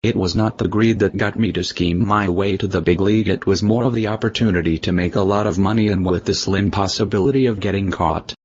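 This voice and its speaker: A man's voice: low-pitched, fairly even intonation, brisk, few pauses, slightly rough, muffled recording. A man giving scripted interview answers in a stiff, recited way as an unrepentant convict.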